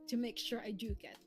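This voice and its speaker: Woman streamer, very high voice, chatty and giggly rambling storyteller, faint music underneath. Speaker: streamer and co-host. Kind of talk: casual chatty livestream talk.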